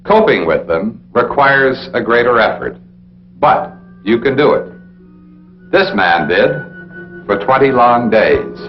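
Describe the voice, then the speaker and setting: An American man narrating a 1960s military training film in an authoritative, deliberate, instructional way, speaking straight to the listener. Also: mid-range voice, animated, faint music behind. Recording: dull, noisy 1960s film soundtrack with hum.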